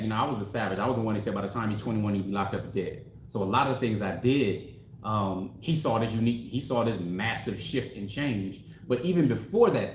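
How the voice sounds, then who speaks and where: A man in his forties, a relationship coach, telling stories in a casual, slangy, animated way with frequent laughs. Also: lowish voice, a little hiss or hum. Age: 40s. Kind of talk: casual discussion. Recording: echoey room.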